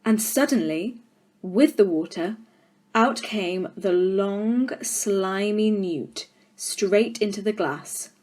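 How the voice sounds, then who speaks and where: A woman storyteller giving an expressive children's audiobook narration with deliberate, dramatic phrasing. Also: medium pitch.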